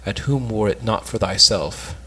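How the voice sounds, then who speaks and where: A young man plays a lovesick, lofty courtier with a slightly low, husky voice. He declaims his devotion in measured Elizabethan phrases, as in an amateur reading of a stage comedy. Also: pushed and bright, heavily acted. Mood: reverent. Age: young adult. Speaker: student reader in an amateur play reading.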